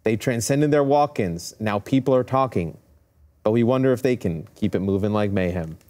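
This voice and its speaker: A man's voice: fairly low pitch, lively intonation, normal speed, warm tone. A man on a casual esports panel, talking as an analyst and host with playful storytelling.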